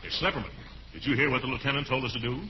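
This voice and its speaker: A man's voice: medium pitch, very fast, close to an everyday voice. An actor playing an indignant, pompous, blustering man in 1940s radio comedy style. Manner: pompous, exclamatory. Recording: muffled, noisy old radio broadcast.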